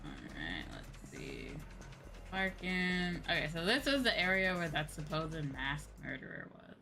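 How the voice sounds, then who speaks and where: A woman giving casual live gameplay commentary as a streamer, reacting out loud and repeating phrases. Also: fairly low pitch, very animated, unhurried, creaky, over music. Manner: repeats phrases, amused reactions, reads lines aloud. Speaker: game streamer.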